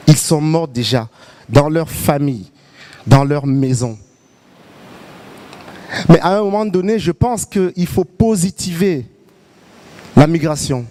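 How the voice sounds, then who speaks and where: A man speaking as an activist on a panel, making emphatic, reflective points and slowing on key phrases. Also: a little high, warm tone. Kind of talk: public debate remarks.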